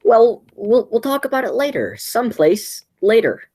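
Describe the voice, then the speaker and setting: A woman's voice: on the high side, big pitch swings, moderate pace, warm tone, slightly rough. A woman playing a flustered, scheming man in an amateur radio play. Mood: flustered, placating. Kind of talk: radio play acting, high school theatre.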